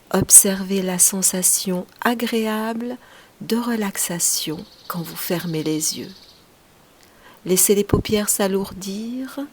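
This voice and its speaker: A woman leading a guided relaxation meditation as a yoga teacher, speaking softly and soothingly in short, unhurried phrases, often breathy as they trail off. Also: medium pitch, a little hiss or hum.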